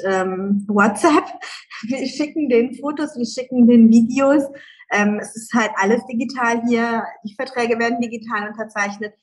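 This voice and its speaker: Brief: middle-aged woman, interview guest, chatty, filler-heavy, quick to laugh. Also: a little high, rough recording. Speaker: guest entrepreneur. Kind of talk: casual interview talk.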